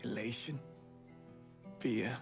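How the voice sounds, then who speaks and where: An actor playing a somber, reflective man who recounts war's aftermath gravely and haltingly in a game. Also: mid-range voice, over music.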